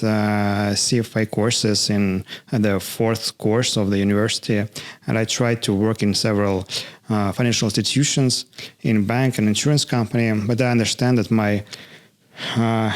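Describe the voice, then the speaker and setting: A man from Russia with a Russian accent, a guest answering interview questions in English with many hesitant "uh" pauses. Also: on the low side, steady tone. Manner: halting, many drawn-out "uh" fillers. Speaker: guest, finance professional. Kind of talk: interview answers in a second language. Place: studio.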